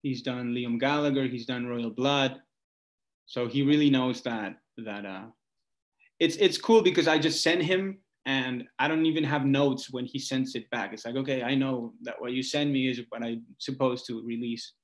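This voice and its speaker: A man from Argentina speaks as a musician guest in an interview. His pitch is medium for a man. He talks informally, in a loose storytelling way, with frequent little conversational asides. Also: normal speed. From Argentina, Buenos Aires. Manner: informal, talks in stories, often says "like" and "you know". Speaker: guest musician, singer-songwriter. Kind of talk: casual interview chat.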